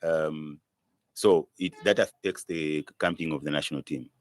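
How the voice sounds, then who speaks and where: A man's voice: extremely low pitch, a little slow, dry recording. A man with a Zambian accent giving opinionated, spontaneous interview answers, stressing points by repeating himself. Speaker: football commentator being interviewed.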